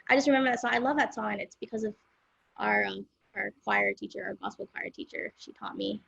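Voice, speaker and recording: Creaky-voiced young woman chatting casually. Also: medium pitch, brisk, no room sound, dull audio. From South Central Los Angeles, USA. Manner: relaxed, rambling, with many fillers like "um" and "like". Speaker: guest singer-songwriter. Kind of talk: casual interview chat.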